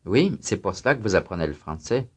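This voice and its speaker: Lowish male voice answering language drills, careful full sentences. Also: big pitch swings, fairly quick, a little mellow, breathy edge. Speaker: student voice and exercise announcer. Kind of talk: scripted language drill responses.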